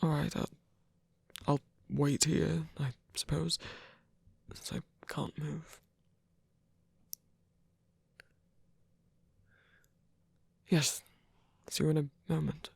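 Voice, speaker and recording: Someone acting as soft wounded villain guy, romantic asmr roleplay, hesitant n flustered, gravelly fry in a breathy voice, super dry close sound. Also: mid-range voice, little pitch movement, no room sound, heavily acted. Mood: shyly pleased. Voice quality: breathy, whispery. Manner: hesitant, halting, soft-spoken. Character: wounded male villain, flustered, tender, softening romantic lover. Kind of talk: intimate ASMR romantic roleplay audio drama.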